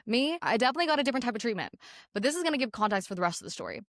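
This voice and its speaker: A woman's voice: on the high side, big pitch swings, racing, no room sound, studio quality. Candid young woman telling her story. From Canada. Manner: candid, frequent "like" fillers. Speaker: podcast host. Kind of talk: personal storytelling.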